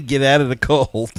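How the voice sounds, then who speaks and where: A man hosting a casual sports interview, forming his questions a little haltingly. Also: mid-range voice, dry recording. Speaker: podcast host, interviewer.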